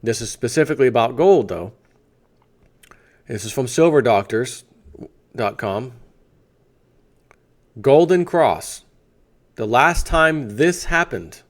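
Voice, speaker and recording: A man hosting a financial news video, reading an article aloud in a conversational way and breaking off for off-the-cuff asides. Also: mid-range voice. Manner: conversational, breaks off to add asides and rhetorical questions.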